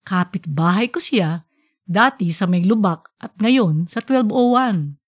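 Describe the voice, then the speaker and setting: A woman voicing a giddy female school principal giving a formal, rambling speech in an audiobook story. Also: medium pitch.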